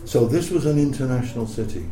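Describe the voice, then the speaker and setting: An older man from Ipswich, telling casual anecdotes in a lively, animated way. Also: mid-range voice, fast, reverberant, slight background noise. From Ipswich, England. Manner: lively, animated, acts out voices and sound effects. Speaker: storyteller guest.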